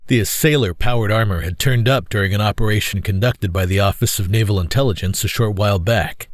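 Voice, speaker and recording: A man's voice: fairly low pitch, fairly quick, dry recording, studio quality. A man narrating a scripted audio drama in a formal storytelling style. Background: music.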